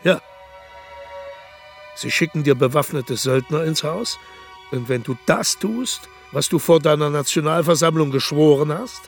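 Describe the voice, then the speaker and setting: A grandiose aging dictator. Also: male voice, fairly high pitch, gravelly. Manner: grandiose, indignant, lecturing. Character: boastful aging male dictator, proud and defiant. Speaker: Gaddafi in a radio play. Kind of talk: radio drama acting.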